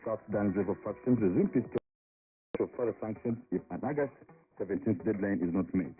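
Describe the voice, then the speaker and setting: Low-voiced male radio host, muffled shortwave sound. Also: a little fast. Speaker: radio news programme host. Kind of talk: scripted radio presenting.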